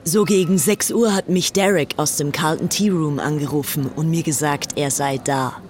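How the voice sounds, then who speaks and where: Radio play: young English society woman, actress, smitten and gushing, lowish voice, light ambient noise. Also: normal speed, darkish tone, lightly acted. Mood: excited, gushing. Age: young adult. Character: infatuated, excitable young English upper-class woman. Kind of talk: radio play acting, diary-like confiding.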